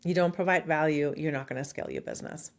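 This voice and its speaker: A middle-aged woman with a German accent speaks in a notably low register, talking straight to camera about her work. She is direct and plain-spoken, with flashes of silliness, in a casual coaching tone. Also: quick pace. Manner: direct and at times silly. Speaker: marketing coach and YouTuber.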